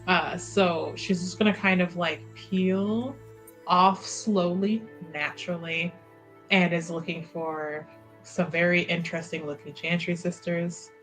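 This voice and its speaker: A woman's voice: on the low side, fairly even intonation, unhurried, fairly bright tone, soft background music, low-quality recording. A woman playing a tabletop game, laughing often and hedging with "like" as she describes her character. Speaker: player.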